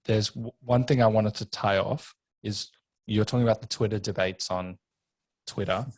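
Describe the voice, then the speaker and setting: A man's voice: fairly low pitch, steady tone, normal speed, no echo. A man hosting a podcast interview, asking questions in an earnest, thoughtful way. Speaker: podcast host.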